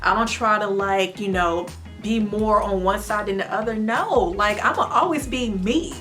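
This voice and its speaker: A young American woman vlogging to her followers in an earnest, heartfelt way, her voice sitting in a middle register. She breaks into playful, lively moments. Soft music plays faintly behind her. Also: mid-range voice, moderate pace. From United States. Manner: earnest, faith-filled, animated with playful outbursts. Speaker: vlogger and mom. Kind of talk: casual talk to camera.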